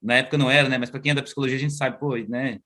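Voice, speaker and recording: A man's voice: medium pitch, steady tone, rapid-fire, pushed and bright. A Brazilian man in his thirties, a psychologist being interviewed over a video call, talking casually and filling his speech with slang and tag questions. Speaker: guest psychologist and researcher. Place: outdoors at home, moving inside.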